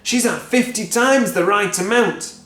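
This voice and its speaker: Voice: mid-range voice, a little slow, fairly bright tone, voice pushed up, natural voice. A reader doing a gleeful nasty husband. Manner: gleeful, mocking. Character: gleeful mean-spirited husband. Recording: roomy echo.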